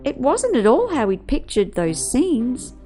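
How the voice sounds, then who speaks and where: A woman reading a children's picture book aloud, with expressive, rhythmic delivery of the verse. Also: on the high side, measured pace. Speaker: storyteller. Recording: music behind the voice.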